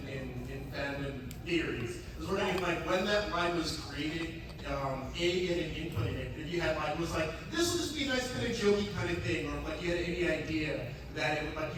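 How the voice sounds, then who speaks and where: A man's voice: a little high, distant mic. A man asking a casual, rambling fan question in an echoey, noisy hall. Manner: rambling, frequent "like". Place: large room at a fan convention.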